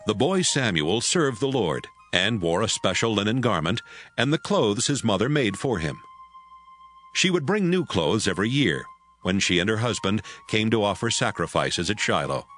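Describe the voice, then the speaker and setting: A man's voice: lowish voice, very animated, moderate pace, a bit bright, soft background music. A man narrating a scripted story for an audio drama.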